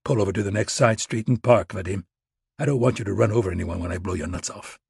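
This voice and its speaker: A man voicing a cold, menacing male operative who talks low and clipped. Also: fast, vocal fry, husky, no echo, clean studio sound, exaggerated voice.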